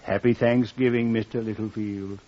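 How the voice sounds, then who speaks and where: An actor playing a secretive, overly polite doctor in a 1950s radio drama, speaking coyly and hesitantly. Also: male voice, lowish voice, flat delivery, normal speed, natural voice. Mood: genial, courteous. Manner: coy, courteous, hesitant. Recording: dull vintage 1950s radio recording.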